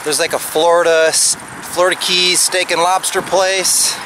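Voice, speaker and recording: A man's voice: high-pitched, flat delivery, unhurried, poor audio. A man in his thirties chatting casually to the camera as a travel vlogger, recorded outdoors at arm's length. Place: outdoors on a roadside path by an airfield.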